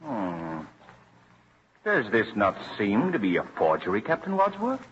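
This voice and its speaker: An actor playing a dignified colonial governor in an old radio drama, formal and measured. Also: male voice, on the low side, wildly swooping pitch, fairly bright tone, heavily acted. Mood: grave, formal. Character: dignified, deliberate colonial governor presiding over assembly. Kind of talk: 1940s radio acting. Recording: muffled, noisy old radio recording.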